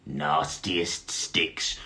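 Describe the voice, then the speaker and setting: A man's voice: rumbling low, fairly even intonation, drawn-out words, sharp tone, heavily acted. A man voicing a bossy, snappish male beetle bully in a storybook reading. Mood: bossy scheming. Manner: domineering, snappish.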